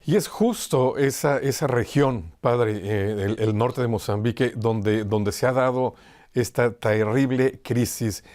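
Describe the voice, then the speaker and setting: A man hosts a conversational interview. He phrases things haltingly, doubling words as he goes. The sound is dry, with hardly any room around his voice. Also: mid-range voice, a little mellow, dry recording. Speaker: interview host.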